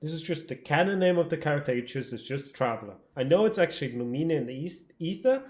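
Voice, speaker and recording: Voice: medium pitch, lively intonation, quick pace, darkish tone. A game streamer giving casual, joking, sweary reaction commentary over gameplay.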